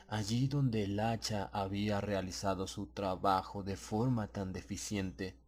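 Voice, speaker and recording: A man narrating a scripted horror audiobook in a grave, measured, suspenseful way. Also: on the low side, a little mellow.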